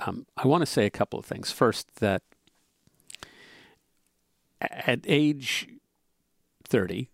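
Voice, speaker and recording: A man in his seventies talking in a measured, hesitant way with many fillers, as a podcast co-host in casual conversation. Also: mid-range voice, expressive, creaky, no room sound, clean studio sound. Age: 70s.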